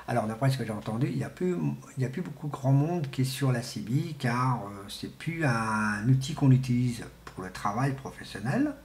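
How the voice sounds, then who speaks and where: A middle-aged man giving an informal, unscripted explanation in a halting, colloquial way, repeating himself for emphasis, as an amateur radio hobbyist. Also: on the low side, a little hiss or hum. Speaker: amateur CB radio enthusiast presenting. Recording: echoey, roomy sound.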